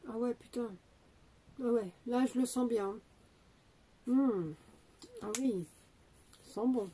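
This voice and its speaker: A slow-talking young woman with a cold, her voice a bit stuffy and set a touch high. She chats loosely and hesitantly, often restarting her words. Faint noise and small clicks sit behind her. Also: a little mellow, rough recording. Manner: chatty, hesitant, many fillers and restarts. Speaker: beauty vlogger unboxing an advent calendar. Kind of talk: casual unboxing commentary.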